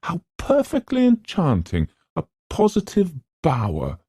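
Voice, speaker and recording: A male reader performs a worldly young woman in a storybook narration. The pitch is raised from his deep natural voice, with playful irony and theatrical drollness. The sound is dry and close. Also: wildly swooping pitch, unhurried, mellow, breathy edge, no echo, exaggerated voice. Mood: wry delight. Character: flippant, sophisticated young woman, playfully ironic.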